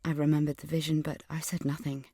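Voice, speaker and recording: A woman's voice: deep voice, flat delivery, fast, mellow, no echo. A woman playing an angry, suspicious teenage schoolgirl in an audio drama, earnestly narrating her memories and visions and speaking in dialogue. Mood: insistent, hushed.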